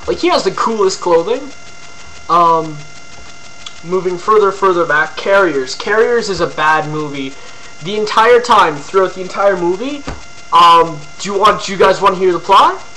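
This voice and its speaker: Casual young man vlogging at home, bright tense voice, faint mains hum under a webcam mic. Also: high voice, lively intonation, normal speed, poor audio. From Ontario, Canada. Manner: rambling, lots of ums and likes, crude jokes. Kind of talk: casual rambling video blog.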